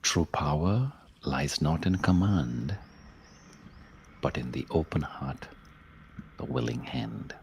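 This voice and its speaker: A man leading a guided meditation as host, speaking in a measured, contemplative way with long pauses and softly trailing phrases. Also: extremely low pitch, creaky, a little hiss or hum. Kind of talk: slow guided meditation, spoken-word reflection with verse.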